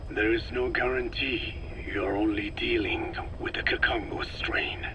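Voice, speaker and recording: Casting: male actor as an elderly, grave video game expert, breathy and raspy, unhurried formal delivery over loud noise. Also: on the low side, fairly even intonation, close to an everyday voice. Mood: grave, measured. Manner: measured, formal, halting phrases. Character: grave, learned old male parasite expert. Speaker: parasite expert. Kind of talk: dramatic video game dialogue.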